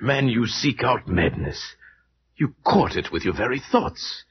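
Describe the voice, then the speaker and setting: An actor playing a grave, dread-filled gentleman who narrates and acts as a loyal friend in vintage radio horror, solemn, measured and theatrical. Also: medium pitch, animated, a little hiss or hum, lightly acted. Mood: grave, anxious. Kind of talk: 1940s-50s radio horror narration and acting. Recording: dull vintage radio recording, loud music.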